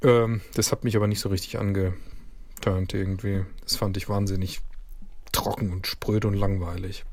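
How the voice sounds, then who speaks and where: A man from Mannheim with a slight local accent, talking as a guest in a relaxed interview, hesitant and full of fillers and restarts. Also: lowish voice, moderate pace. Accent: Mannheim dialect. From Mannheim region, Germany. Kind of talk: casual interview.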